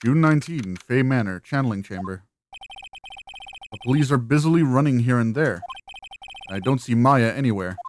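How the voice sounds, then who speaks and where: A woman's voice: a bass voice, lively intonation, moderate pace, long pauses, a little mellow, a little hiss or hum. A woman voicing a young male attorney in a video game, dry, earnest and inquisitive. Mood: pensive narration. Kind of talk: video game dialogue and inner monologue.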